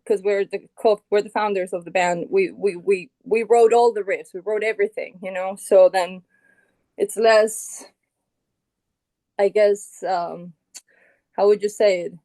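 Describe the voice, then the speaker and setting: A middle-aged woman from Colombia talks in a relaxed, casual interview voice. She thinks out loud, with plenty of "you know" and restarts. Her pitch sits in a mid range, and the sound is dry and close with no room echo. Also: unhurried. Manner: relaxed, thinks aloud with many fillers and restarts. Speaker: guitarist and founding band member, guest.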